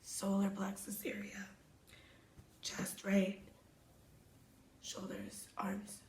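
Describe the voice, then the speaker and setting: A hoarse woman giving a reflective self-help talk as a wellness coach, speaking softly and meditatively and often dropping to a whisper. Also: medium pitch, completely flat delivery, drawn-out words. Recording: echoey room.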